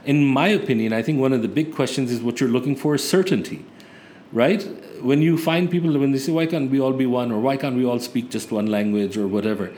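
A man's voice: mid-range voice, a little fast, a little mellow. A man with a mid-range voice speaks earnestly, thinking aloud and restarting his sentences as he works out his point. Faint background noise runs under the recording. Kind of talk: casual political discussion.

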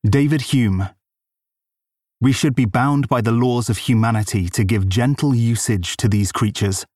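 Male narrator, medium pitch, deliberate formal delivery, scripted audiobook quotations, very dry recording. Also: a little mellow, no room sound.

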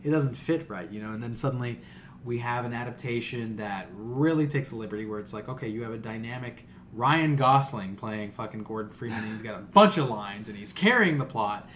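Man, average pitch, casual podcast discussion, animated, exasperated, swears freely, background noise. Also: fairly quick. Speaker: podcast co-host.

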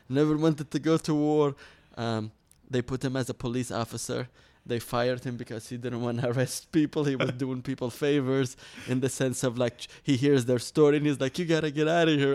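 A man's voice: mid-range voice, steady tone, normal speed, hardly any pauses, a little mellow. Earnest man telling his story. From Iraq. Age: 30s. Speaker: interview guest. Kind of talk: personal storytelling in an interview.

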